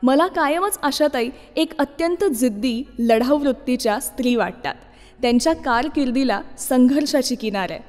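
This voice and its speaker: A woman's voice: sits high, moderate pace, a little mellow. A woman presenting a radio show in a scripted narrating style. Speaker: radio host. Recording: long reverb, music behind. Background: music.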